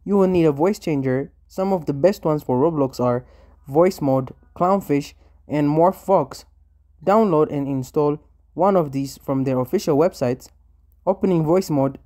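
A man narrates a scripted how-to tutorial in a soft-toned, mellow voice. His pitch sits a little high for a man. He presents each step as a host reading voice-over. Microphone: voice-over, recorded separately from the screen capture.

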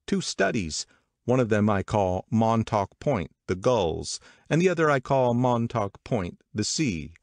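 A man's voice: on the low side, very animated, a little slow, no echo, clean studio sound, pitched above the performer's own voice. A man voicing an eager, self-promoting male artist in an audiobook, earnest and a little self-important. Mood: proud.

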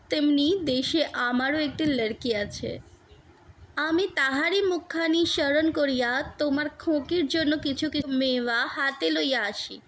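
Woman acting humble Afghan fruit seller, tender-hearted father, earnest, respectful, storybook dialogue, slow delivery. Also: extremely high pitch, fairly bright tone, heavily acted.

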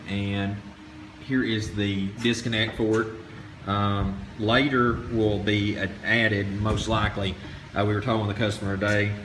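A young man with a fairly low voice gives an informal, folksy tour of a job site. He rambles along while holding the phone, with clear noise around his voice. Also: fairly bright tone. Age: young adult. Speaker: off-grid solar contractor, video host. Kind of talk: informal handheld video tour. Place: hilltop job site, in and out of doors. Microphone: phone held by the speaker. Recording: noisy handheld recording with wind.

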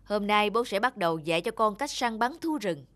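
A woman voicing a stern, traditional hunter father in a fairy-tale cartoon, gruffly lecturing. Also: medium pitch, expressive, fairly quick, close to an everyday voice. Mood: scornful. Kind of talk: animated fairy-tale dubbing.

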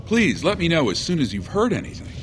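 A man voicing an earnest, pious dwarf missionary in a video game, speaking in a gently persuasive way. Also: fairly high pitch, huge pitch swings, a little fast, noisy background. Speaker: preacher. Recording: game audio with music underneath.

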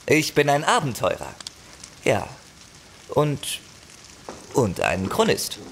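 A man's voice: medium pitch, huge pitch swings, slow pace, gravelly, slight background noise, heavily acted. A man acting a solemn, eloquent wandering adventurer and chronicler in an audio drama, with theatrical delivery. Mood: polite, evasive.

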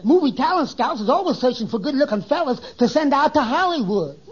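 A man playing an excitable, stuttering little barber in a 1950s American radio sitcom, eager and prone to boasting. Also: extremely high pitch, a little fast. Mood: nervous, eager. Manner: stuttering, gets stuck on words. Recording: muffled old radio recording.

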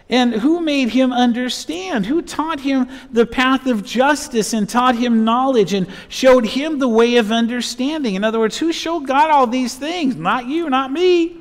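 A man in his fifties, a pastor preaching and reading scripture in emphatic short phrases in a church. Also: extremely high pitch. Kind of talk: expository sermon with Bible reading. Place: church sanctuary, at a pulpit. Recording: reverberant church hall sound.

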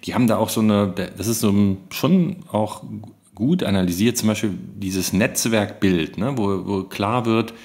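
A man's voice: lowish voice, normal speed, a little mellow. A man co-hosting a podcast in a casual discussion. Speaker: podcast co-host.

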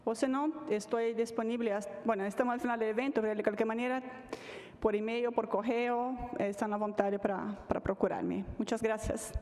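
A woman wrapping up a conference talk at a podium, speaking haltingly and repeating words. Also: fairly high pitch, steady tone, a little mellow. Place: large conference hall stage. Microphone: podium mic. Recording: hall reverb.

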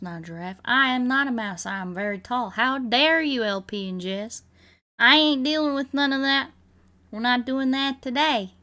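A woman's voice: a little high, bright, forceful tone. A woman livestreamer giving playful game commentary in mock-bossy cowboy slang with a Southern US accent. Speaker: livestreamer playing a video game.

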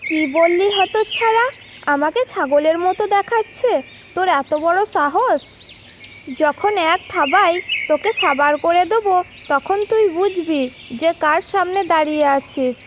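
A boastful, gullible old tiger in a cartoon fable played by a woman, extremely high in pitch. The tiger blusters with hot-tempered pride over noisy birdsong ambience. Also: a little slow. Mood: outraged. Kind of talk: children's cartoon folk tale.

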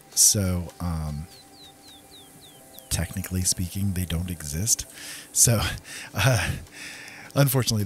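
A man with a low voice gives casual gameplay commentary. He is chatty and playful, often muttering to himself, while faint music plays behind him. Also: darkish tone. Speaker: gaming video host.

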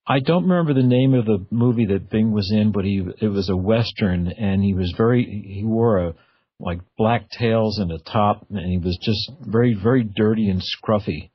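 A man's voice: fairly low pitch, moderate pace, breathy edge, no echo. A man hosting a casual radio interview, with hesitant phrasing and repeated words. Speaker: radio talk show host. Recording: dull, lo-fi radio audio.

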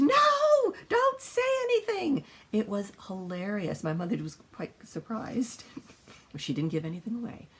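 Older woman, gravelly and animated, swinging pitch, informal storytelling chat in a husky voice. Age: older, around 60s. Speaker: co-host, mother discussing books. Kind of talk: casual rambling chat. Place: small book-lined room indoors.

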